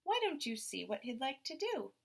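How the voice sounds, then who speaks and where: A woman voicing a patient storybook mother, explaining things gently to young children. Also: high-pitched, big pitch swings, normal speed, vocal fry, breathy edge, pitched above the performer's own voice, full character voice.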